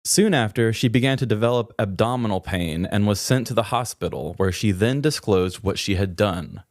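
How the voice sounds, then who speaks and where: Low-voiced man chatting on a podcast. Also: normal speed, no room sound, clean studio sound. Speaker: podcast co-host. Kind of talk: casual comedic chat and reading an article aloud.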